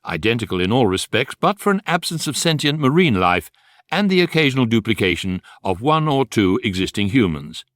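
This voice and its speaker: An actor playing a wry, erudite guidebook narrator in a sci-fi radio comedy. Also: male voice, medium pitch, fairly quick, dry recording, studio quality. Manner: wry, deadpan irony.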